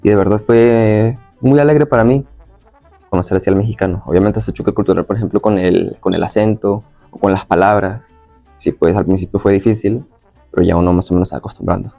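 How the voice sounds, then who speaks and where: A man's voice: fairly low pitch, fairly even intonation, fairly quick, vocal fry, mellow, faint music behind. A young man from Venezuela answering as an interview guest, casually recalling his experiences in a slightly hesitant, circling way. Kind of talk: casual personal recollection.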